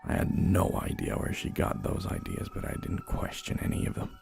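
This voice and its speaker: A man's voice: very high voice, wildly swooping pitch, creaky. A man narrating a first-person horror story in a somber, dramatic manner. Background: slow dark music.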